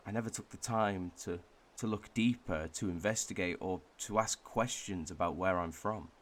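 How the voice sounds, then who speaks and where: Low-voiced, wistful Welshman, played by a man. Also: fairly bright tone. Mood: melancholy, conflicted. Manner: pensive, measured, with thoughtful pauses. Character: wistful Welshman reflecting on his home village and heritage. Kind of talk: reflective radio drama monologue.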